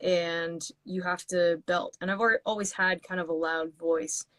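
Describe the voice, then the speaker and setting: A woman guest talks about her music in a loose, rambling way, often restarting her sentences. Her voice sits a little low. The sound is dry and close, like a video call. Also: fairly even intonation, unhurried, a bit bright, no room sound. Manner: rambling with many restarts and fillers. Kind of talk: casual interview chat.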